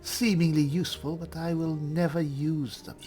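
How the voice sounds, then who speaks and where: A man voicing a cool, dry high elf spellsword, musing formally as he roleplays through a fantasy game. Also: level tone, a little mellow, faint music behind, close to an everyday voice. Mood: pensive, muttering. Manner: measured, formal, thinking aloud.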